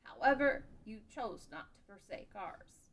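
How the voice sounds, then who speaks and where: A woman's voice: mid-range voice, animated, unhurried. Stoic elderly caretaker read by a woman, noisy recording. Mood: stoic, measured. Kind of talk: table read of a fan script. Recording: clear background noise.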